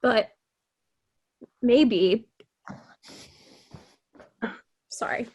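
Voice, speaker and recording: Woman as a high-voiced, pushy circus performer. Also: extremely slow, husky, heavily acted. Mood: coaxing. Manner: insistent, casual. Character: pushy, pragmatic circus performer, eager and pleading. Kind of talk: staged reading of a play. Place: remote, on a video call.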